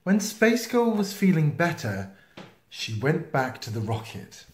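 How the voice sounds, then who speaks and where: Slow, expressive man reading a picture book. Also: fairly high pitch. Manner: expressive, slow, with playful sound effects and asides. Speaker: storytime reader. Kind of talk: children's picture-book read-aloud. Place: small indoor room, seen close to the camera. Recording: roomy, echoey home video sound.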